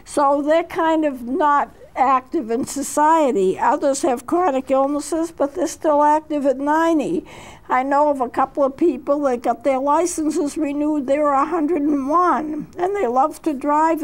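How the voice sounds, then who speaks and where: A woman in her eighties with a high voice talks about growing old. Her pace is unhurried, with little fillers and restarts along the way. She sounds like a seasoned counselor sharing what she has learned. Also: rough recording. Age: 80s. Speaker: counselor and show host. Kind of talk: reflective talk with read-aloud poetry.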